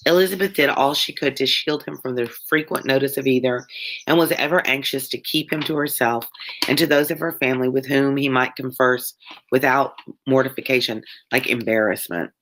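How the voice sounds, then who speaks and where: A woman's voice: extremely low pitch, fairly quick, background noise. A woman with a Louisiana Southern accent and a congested, wheezy chest, reading a novel aloud and chatting with viewers as an online tutor. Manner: chatty, stops often to explain words and answer chat. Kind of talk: casual live-stream read-along with chat.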